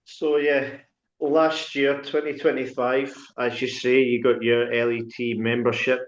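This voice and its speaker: A male podcast host asks his questions in a loose, meandering way, leaning on "like" and "um" as he goes. His voice has a middle pitch and comes through a dull, lo-fi recording. The room around him sounds noticeably echoey. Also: flat delivery, unhurried. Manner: rambling questions, heavy "like" and "um" fillers. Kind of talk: casual interview questions.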